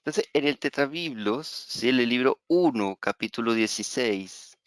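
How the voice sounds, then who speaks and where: A middle-aged Venezuelan man lectures in a clear, resonant voice, stopping often to restart words and check in with his listeners. The sound is dry and close, with almost no room around it. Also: medium pitch, lively intonation, normal speed, no echo. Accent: Venezuelan Spanish. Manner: hesitant, many fillers and repeated words, frequent tag "¿sí?". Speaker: astrology teacher. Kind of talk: webinar lecture.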